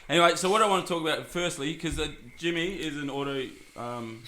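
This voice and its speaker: A man's voice: fairly high pitch, fairly quick, sharp tone, low-quality recording. A man hosts a casual podcast, joking and rambling with lots of fillers. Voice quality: husky. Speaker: podcast host. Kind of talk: casual chat.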